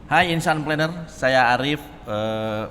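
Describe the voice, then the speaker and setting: A man's voice: medium pitch, slow pace, sharp tone. A man from Bandung, chatting casually and spinning jokey little stories. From Bandung, West Java, Indonesia. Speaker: band singer and guitarist, guest. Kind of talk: casual joking chat. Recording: noisy, echoey long reverb.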